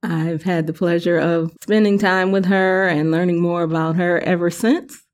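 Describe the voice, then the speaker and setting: A woman's voice: on the low side, steady tone, normal speed. A woman co-hosting a podcast, introducing and welcoming a guest in a friendly way. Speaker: podcast co-host. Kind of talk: podcast interview.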